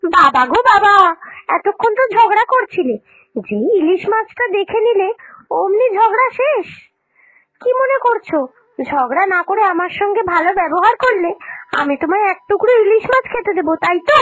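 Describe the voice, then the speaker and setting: Casting: woman to play quarrelsome young daughter-in-law for a cartoon, very high pitched, theatrical delivery. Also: animated, normal speed, fairly bright tone, no room sound, poor audio. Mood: mocking. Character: shrill, spiteful, quarrelsome young daughter-in-law, later repentant. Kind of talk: Bengali cartoon dialogue acting.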